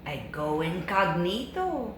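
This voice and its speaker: A woman voicing a playful young male kitten with a put-on Spanish accent, bouncy and loud. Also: fairly low pitch, sing-song, slow, background noise. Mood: bold. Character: playful young kitten who thinks he is a Chihuahua. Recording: echoey room.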